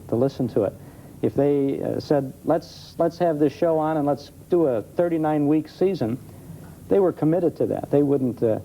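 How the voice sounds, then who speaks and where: Very mellow American man explaining. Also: mid-range voice. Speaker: guest expert on old-time radio. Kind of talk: casual explanatory interview chat. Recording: muffled 1970s TV recording, faint noise.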